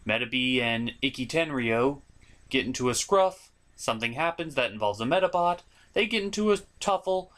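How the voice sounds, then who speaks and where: An animated man delivers scripted but informal review commentary as a show host. His medium-pitched voice swings widely in intonation. He is opinionated and chatty, often turning aside to address the viewer.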